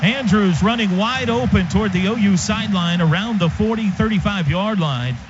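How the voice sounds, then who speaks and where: A high-voiced man does live radio play-by-play of a football game. He calls it in short, urgent bursts. The feed is dull, with clear stadium noise behind him. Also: moderate pace. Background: stadium ambience.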